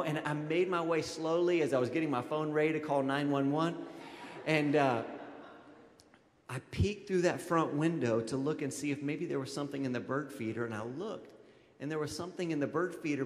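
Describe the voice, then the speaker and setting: A man in his thirties preaching a conversational sermon as a pastor, informal and animated, addressing his congregation directly into a handheld microphone. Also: fairly high pitch. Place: large auditorium stage, indoors. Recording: echoey hall with long reverb.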